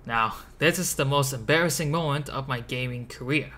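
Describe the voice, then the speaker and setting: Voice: mid-range voice, normal speed, a bit bright. A video essay host narrating from a script in a casual, joking manner. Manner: casual, joking, with playful exclamations. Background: music behind the voice.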